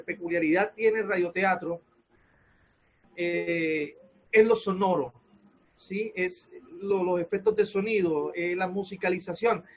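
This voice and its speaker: Halting, high-voiced man hosting. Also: lo-fi sound. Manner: halting, searching for words. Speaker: host, interviewer. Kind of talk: spontaneous panel interview.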